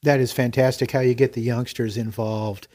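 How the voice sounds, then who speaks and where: A man's voice: mid-range voice, fairly even intonation, normal speed, warm tone. Encouraging male podcast interviewer. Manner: encouraging, appreciative. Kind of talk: casual interview chat.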